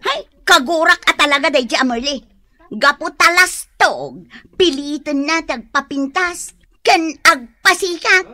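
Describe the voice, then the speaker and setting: A woman's voice: sits high, a little slow, dry recording. A woman with an Ilocano accent playing a boastful, vain, taunting neighbour in a radio drama. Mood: mocking. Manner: taunting, sharp-tongued.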